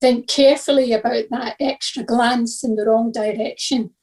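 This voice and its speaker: A woman's voice: fairly high pitch, steady tone, moderate pace, a little mellow, poor audio. A woman reading a scripted devotional reflection as one of a church's readers.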